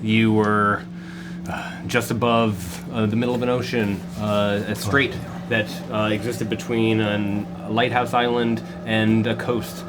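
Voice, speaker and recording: A man's voice: lowish voice, flat delivery. Man narrating a game, full of ums. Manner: halting, with many fillers. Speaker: game master. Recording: background noise.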